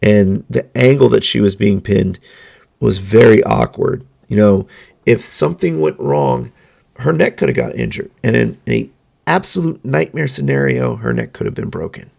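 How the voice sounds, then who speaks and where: A man hosting a solo podcast, talking in an earnest, measured way with halting fillers, his voice going hoarse. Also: medium pitch, expressive, darkish tone. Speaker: podcast host. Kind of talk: solo opinion monologue.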